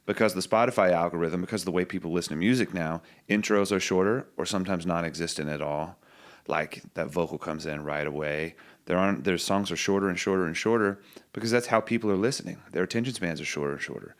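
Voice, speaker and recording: A man with a very low, deep voice talks as a songwriter guest on a podcast. He muses his way through ideas, circling back and restarting as he thinks out loud. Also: fast talker. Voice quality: low singing voice. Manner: thoughtful, musing, loose restarts. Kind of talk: reflective podcast conversation.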